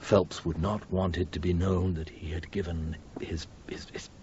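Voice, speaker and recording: An actor playing a harassed, evasive publishing editor in a radio drama, stammering and exasperated. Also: male voice, deep voice, level tone, moderate pace, mellow, close to an everyday voice. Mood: sheepish. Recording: muffled, noisy 1980s radio recording.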